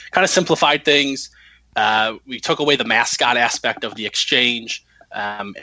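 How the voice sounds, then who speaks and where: Guy with a bright forceful voice, explaining stuff casually as a guest. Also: mid-range voice, no echo. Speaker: guest, amateur radio contest organizer. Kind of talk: casual interview talk.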